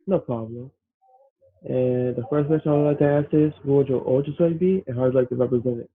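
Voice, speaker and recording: Fast-talking man on a tinny line. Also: medium pitch, slightly rough. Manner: brisk, plain questions, repeats them when asked. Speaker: podcast host. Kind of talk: casual podcast interview.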